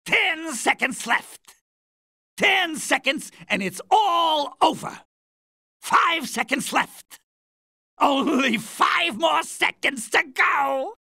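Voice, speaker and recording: Nasty video game boss lady, slow drawn out taunts, bright edgy voice, fry in it. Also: high-pitched, lively intonation, no room sound, clean studio sound, exaggerated voice. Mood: gloating. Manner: mocking, drawn-out delivery. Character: taunting, sadistic female boss fighter with explosives.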